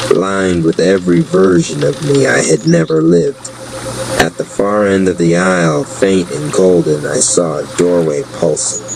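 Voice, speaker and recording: Deep, slow man narrating a story. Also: vocal fry, background noise. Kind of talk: scripted spoken-word audio drama narration.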